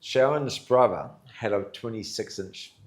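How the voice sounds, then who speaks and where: A man in his fifties from Kenya, telling lively stories as a guest in an interview. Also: lowish voice, unhurried. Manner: loud, energetic, excitable. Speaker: charity co-founder, guest. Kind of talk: casual interview storytelling.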